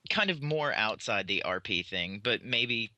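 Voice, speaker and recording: A man's voice: on the low side, lively intonation, a little slow, bright, forceful tone, no echo. A man on a gaming podcast panel, casually putting questions to the others.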